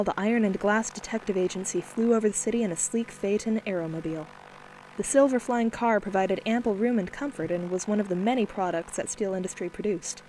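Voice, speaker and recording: Steady female audiobook narrator. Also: medium pitch, slight background noise, rough recording. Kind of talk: scripted narration.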